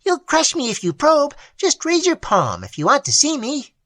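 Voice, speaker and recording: Tiny wheedling male troll, as a woman puts him on, shrill and tinkling, wildly swooping pitch. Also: wildly swooping pitch, normal speed, a bit bright, no room sound, exaggerated voice. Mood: pleading.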